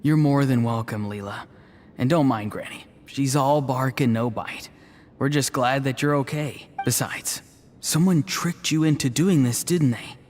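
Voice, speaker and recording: Actor as a gentle young man, mid-pitched, reverberant. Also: unhurried, a little hiss or hum, natural voice. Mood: gentle, sympathetic. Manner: polite, reassuring, soft-hearted. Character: earnest, gentle, dutiful young grandson. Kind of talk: anime fantasy game voice acting. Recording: long reverb, music underneath.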